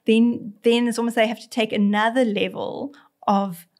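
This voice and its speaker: A woman's voice: on the high side, fairly even intonation, normal speed, a little mellow. A female veterinarian with a South African accent explaining cat behaviour in a podcast interview, often speaking as the cat in first person and restarting her sentences. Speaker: veterinarian guest. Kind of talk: conversational expert explanation.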